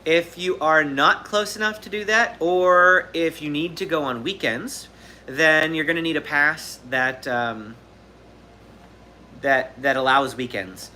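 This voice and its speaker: A man's voice: fairly high pitch, measured pace, sharp tone, slight background noise. A man in his thirties hosting a live question-and-answer stream, talking casually and rambling with fillers and restarts. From Southern California. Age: 30s. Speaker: livestream host.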